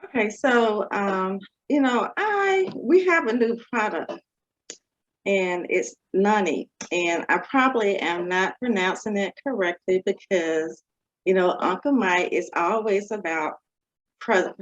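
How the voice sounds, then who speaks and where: A woman physician introducing herself on an online panel, halting, with many fillers and frequent laughs. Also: medium pitch, animated, dull audio, slight background noise. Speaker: physician guest.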